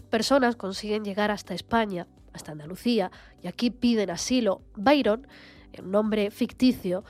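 A woman hosting a radio show who introduces topics and asks her guest questions in a composed, clear way. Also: mid-range voice, a little mellow. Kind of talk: radio interview.

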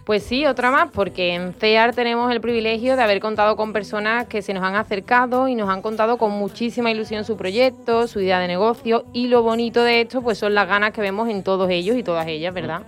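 A woman's voice: on the high side, a little fast, hardly any pauses, faint music behind. A woman hosting a radio program, chatting conversationally and often tagging her lines with questions. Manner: warm conversational, frequent "pues" and "¿no?". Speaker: radio host. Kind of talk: radio magazine chat.

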